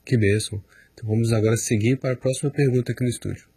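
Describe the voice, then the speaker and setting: Husky male host moving things along. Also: medium pitch, steady tone, very fast, mellow, no echo. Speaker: program host. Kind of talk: hosting a studio Q&A.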